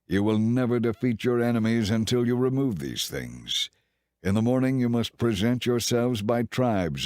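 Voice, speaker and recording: A man's voice: lowish voice, a little mellow, dry recording, clean studio sound, lightly acted. A man voicing God in a dramatized scripture audiobook, stern, solemn and commanding as he pronounces judgment.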